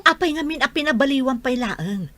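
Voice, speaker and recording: Impatient, high-voiced scolding mother. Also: animated, fairly quick, a bit bright. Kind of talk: radio drama acting.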